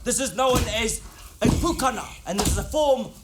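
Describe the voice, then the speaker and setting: A man's voice: very high voice, animated, normal speed, very noisy. A man introducing a haka performance to an audience, speaking in a declamatory way. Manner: declamatory, rising to shouted calls. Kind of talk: announcing to an audience.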